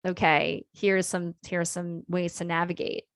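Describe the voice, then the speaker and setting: A woman co-hosting a podcast in casual chat, reflective and measured, thinking out loud. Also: fairly low pitch, flat delivery, dry recording, studio quality. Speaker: podcast co-host.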